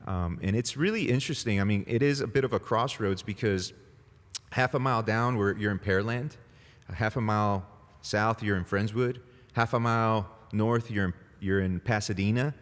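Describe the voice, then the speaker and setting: A deep-voiced man in his forties from El Salvador, whose first language is Spanish, talking as a pastor guest. He is chatty and easygoing, full of fillers and laughing asides. His voice rings with a long echo in the room. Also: normal speed. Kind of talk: casual interview conversation. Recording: long reverb, echoey room.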